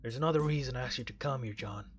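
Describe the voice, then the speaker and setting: A man voicing a sombre, apologetic male police officer in a visual novel, low, measured and subdued. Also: natural voice. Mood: sober.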